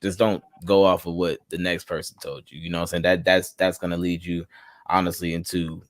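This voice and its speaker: A man with a low voice hosts a podcast interview. He talks in a relaxed, easygoing way and asks loose, casual questions. The recording is dry and close. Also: a little fast, no echo. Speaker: podcast host.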